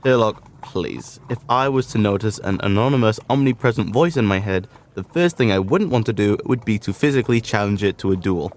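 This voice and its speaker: An actor playing a sensible, long-suffering doctor and detective's sidekick with a dry manner, in a comic radio drama. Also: male voice, on the low side, lively intonation, brisk, hardly any pauses, darkish tone. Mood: exasperated. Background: music.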